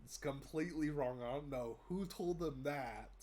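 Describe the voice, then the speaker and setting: A man's voice: on the high side, normal speed, darkish tone. A young American man with a cold, sounding congested, giving a casual rambling update to his viewers with lots of fillers and restarts. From United States. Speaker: vlogger. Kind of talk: casual update talk to camera.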